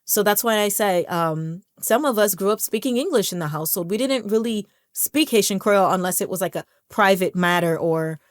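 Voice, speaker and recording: A woman's voice: mid-range voice, fairly quick, few pauses, no room sound, studio quality. Chatty American woman, encouraging. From United States. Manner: conversational, stumbles and repeats words, laughs now and then. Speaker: language vlogger. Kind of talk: casual encouraging talk to camera.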